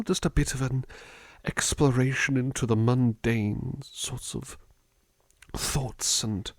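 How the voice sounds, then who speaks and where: A man playing a gentle, attentive butler in an ASMR roleplay, musing in a polite, hesitant, formal way into a close microphone. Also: medium pitch, vocal fry, breathy edge, no room sound, heavily acted. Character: gentle, musing, attentive male butler looking after someone. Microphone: close dry microphone.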